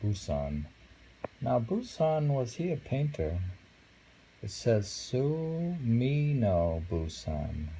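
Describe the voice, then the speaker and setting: A man reading haiku aloud casually, musing and halting, murmuring to himself between poems. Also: mid-range voice, sing-song, mellow, a little hiss or hum, rough recording. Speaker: reader of a haiku book. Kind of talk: casual poetry read-aloud with musing commentary.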